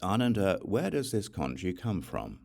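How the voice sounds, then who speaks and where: A man narrating plays an authoritative male spiritual teacher from an audiobook scripture. The voice is very deep and creaky, with wide rises and falls, and the delivery is formal, stern and deliberate. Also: wildly swooping pitch, darkish tone, exaggerated voice. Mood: stern rebuke. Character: the Buddha, authoritative male teacher laying down rules.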